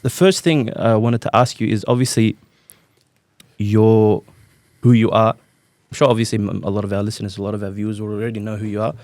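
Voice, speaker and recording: A man's voice: fairly low pitch, fairly even intonation, a little fast, darkish tone, no echo, studio quality. A man in his twenties, a podcast host who introduces his guest and asks questions in a polite, deferential way, with many fillers. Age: 20s. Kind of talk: interview questions.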